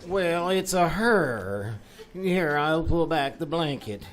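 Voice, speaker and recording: A man's voice: a little high, animated. An actor playing a hesitant, flustered, apologetic man in an old-time radio comedy. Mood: bashful. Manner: halting, stammering, apologetic. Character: hesitant, flustered, meek man called Mister Zinsser. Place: small theater stage, live performance. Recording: faint noise, live stage performance.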